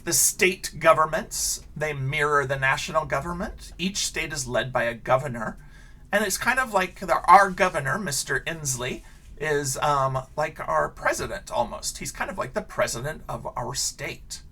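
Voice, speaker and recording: American man, children's read-aloud teacher. Lively intonation, rough-edged tone, clear simple explanations for little ones. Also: on the high side, normal speed. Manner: animated, simple explanations aimed at young children. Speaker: elementary school teacher.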